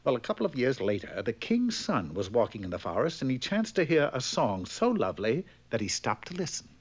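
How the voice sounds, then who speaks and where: A man's voice: mid-range voice, wildly swooping pitch, fairly quick, slightly rough. A man narrating a comic fairy tale with droll, theatrical storytelling. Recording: muffled, lo-fi cartoon soundtrack.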